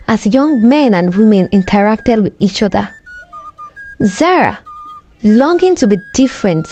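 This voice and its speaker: A woman narrating a scripted folktale in a dramatic, deliberate storytelling manner. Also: fairly high pitch, mellow, muffled recording, slight background noise.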